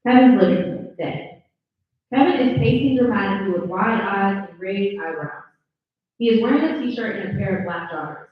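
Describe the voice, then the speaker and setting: A woman's voice: medium pitch, moderate pace. A woman reading scripted stage directions aloud as the narrator of a table read. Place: live in-person event room. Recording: echoey room, distant muffled mic.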